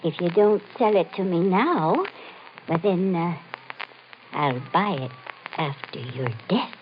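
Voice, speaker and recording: An actress in an old-time radio mystery playing a nervous, insistent, ominous woman with a halting, pleading, melodramatic delivery. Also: lowish voice, lively intonation. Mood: ominous insistence. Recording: muffled, hissy old radio recording.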